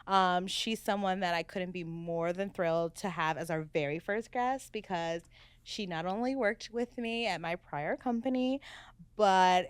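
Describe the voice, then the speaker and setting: A woman's voice: lowish voice, clear, resonant tone, dry recording. A woman hosting a podcast in casual chat, bubbly and gushing, laughing often. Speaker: podcast host.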